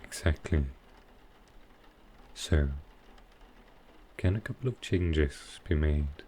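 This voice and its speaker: A man playing a sly, dryly amused male supervillain in a soft roleplay ASMR style, with halting, understated delivery. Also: rumbling low, a little hiss or hum. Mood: coaxing, sly, then wry, pointed. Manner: unhurried, halting delivery with pauses, dry understated humour.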